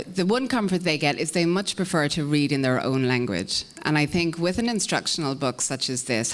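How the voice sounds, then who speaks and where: A woman's voice: low voice, fairly bright tone. An Irish woman moderating a conference panel, introducing speakers in a friendly, self-deprecating way. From Ireland. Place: conference meeting room.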